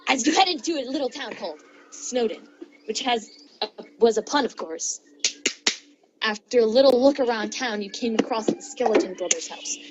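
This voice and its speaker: A woman's voice: fairly high pitch, lively intonation, moderate pace, long pauses, background noise. A young woman reading fan fiction aloud to friends, giggly and stumbling over words, with joking asides. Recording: dull lo-fi mic, clear chatter around. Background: friends chattering and laughing.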